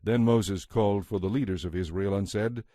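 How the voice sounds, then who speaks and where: A man narrating scripture in a solemn, measured and authoritative way, as part of a dramatized reading. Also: fairly low pitch, mellow, no room sound, studio quality. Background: background music.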